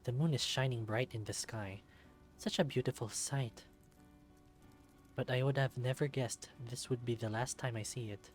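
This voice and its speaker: A man's voice: mid-range voice, normal speed, soft background music. A man reading a visual novel aloud in dramatic first-person narration.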